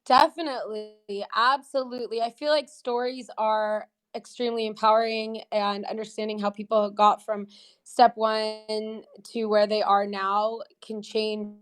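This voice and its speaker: A woman's voice: mid-range voice, little pitch movement, measured pace, clean tone. A woman from the United States whose first language is Portuguese, telling her story as a podcast guest in an upbeat, chatty way. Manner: upbeat, chatty, laughs between points. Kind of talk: casual interview storytelling. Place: in Brazil, remote.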